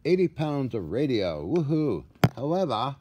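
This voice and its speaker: A man giving a casual, hands-on walkthrough of equipment in a halting, muttering way with folksy asides, recorded on a handheld device in a workshop. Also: medium pitch, very animated, highs cut off. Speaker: radio restorer. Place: indoor cluttered workshop. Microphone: handheld camera or phone, behind the lens. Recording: noisy handheld recording with room ambience.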